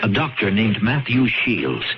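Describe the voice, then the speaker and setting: A man's voice: lowish voice, sing-song, a little slow, over loud music. A man reading a scripted radio public service announcement as a narrator. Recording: dull radio recording, loud background noise.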